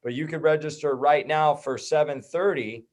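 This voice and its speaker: A man's voice: on the high side, fairly even intonation, moderate pace, a little mellow. A man with an American accent talking loosely and jokingly as a guest in a casual interview. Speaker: guest, activist group organizer. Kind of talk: casual chat.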